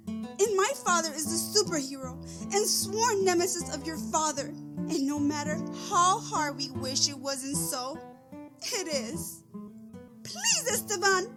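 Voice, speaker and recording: A woman playing a torn, melodramatic soap opera heroine with overwrought, halting pleas. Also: very high-pitched. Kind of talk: soap opera parody sketch. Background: plucked guitar music.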